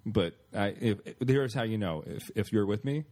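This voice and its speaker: A man's voice: fairly low pitch, lively intonation, normal speed, warm tone. A man trading wry, playful banter during a casual podcast chat.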